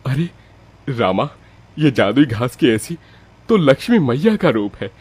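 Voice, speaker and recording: A man's voice: mid-range voice, sing-song, a little slow, a little mellow, breathy edge, dry recording. A man playing a poor village father in a cartoon moral story, emotional and exclamatory. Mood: amazed.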